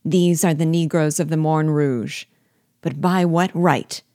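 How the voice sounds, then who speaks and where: A woman's voice: deep voice, steady tone, unhurried, mellow, dry recording, studio quality. A woman voicing a vengeful dwarf sorcerer in an audiobook, commanding and passionate, with a raised voice. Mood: concentrated passion. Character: vengeful dwarf sorcerer, furious man hurling curses. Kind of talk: dramatic audiobook character reading.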